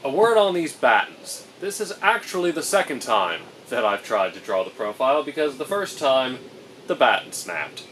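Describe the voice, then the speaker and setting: A man's voice: medium pitch, big pitch swings, moderate pace, a bit bright, distant mic, slight background noise, low-quality recording. A young man explaining his boatbuilding project to camera in a plastic-sheeted workshop, methodical and dryly humorous. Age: young adult. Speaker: boatbuilding vlogger. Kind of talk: explaining a woodworking build to camera.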